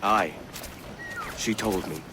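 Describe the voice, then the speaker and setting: A brooding, world-weary rogue of a pirate captain for a game. His voice sits low and plain-spoken, with loud background sound. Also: takes it slowly, background noise. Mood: reflective. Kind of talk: video game cutscene acting. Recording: loud ambience and music behind.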